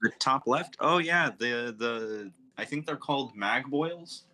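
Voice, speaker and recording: A man's voice: mid-range voice, expressive, slow, sharp tone. A man chatting casually and interjecting comments as co-host of a comic readalong.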